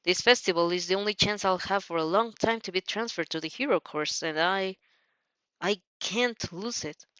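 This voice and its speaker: A woman's voice: mid-range voice, moderate pace, a bit bright, clear, resonant tone, no room sound, highs cut off, close to an everyday voice. A woman voicing a bitter, insecure teenage boy who is defensive and mocking, in an audio fanfiction reading. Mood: quiet, regretful. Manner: mocking, muttered, venomous.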